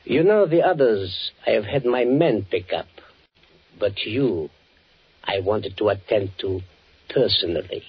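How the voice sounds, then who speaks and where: Creepy gestapo officer, very raspy, slow gloating delivery, muffled old 1940s radio. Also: male voice, lowish voice, expressive, heavily acted. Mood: gloating menace. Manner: slow, halting, deliberate, savouring his words. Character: menacing male Gestapo officer, coldly gloating.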